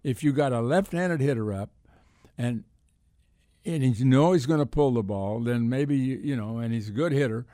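A man's voice: mid-range voice, moderate pace, mellow, no echo, studio quality. An older American man co-hosting a sports talk show, chatting casually and often repeating his words. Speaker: sports talk radio co-host.

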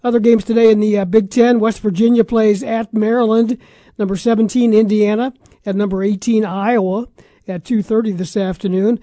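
A man's voice: sits high, fairly even intonation, normal speed, mellow, no room sound, studio quality. A man with an American accent hosting casual sports talk, running through scores in a conversational, matter-of-fact way. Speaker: sports radio talk show host.

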